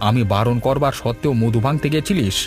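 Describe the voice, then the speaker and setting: Man as a medium-pitched village family man. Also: fast talker, a little mellow, soft background music, natural voice. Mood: stern scolding. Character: easygoing, placating village husband and stern father. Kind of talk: cartoon voice acting.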